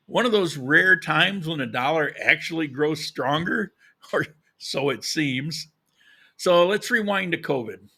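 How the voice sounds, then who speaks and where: A man's voice: a little high, animated, unhurried, vocal fry, pushed and bright, husky. A man hosting a live podcast, talking informally and directly to his audience. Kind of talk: casual livestream talk to viewers.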